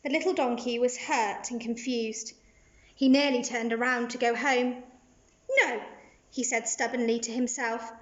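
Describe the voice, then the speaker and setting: A woman's voice: a little high, little pitch movement, moderate pace, lo-fi sound. A woman teacher reading a children's storybook aloud as narration.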